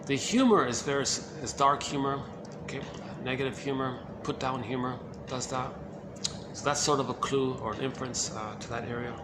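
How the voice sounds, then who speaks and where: A man with a low voice giving an informal, rambling talk on psychoanalysis, often saying "okay" and "uh" as he goes. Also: noisy background. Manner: rambling, lots of "okay" and "uh" fillers. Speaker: lecturer on psychoanalysis. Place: room in a shared building.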